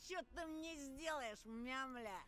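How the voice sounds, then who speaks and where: A woman's voice: sits high, takes it slowly, a bit bright, dry recording, faint music behind. Woman voicing a sneering schoolboy bully. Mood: taunting. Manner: sneering, taunting. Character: male schoolboy bully, swaggering, cruel, taunting.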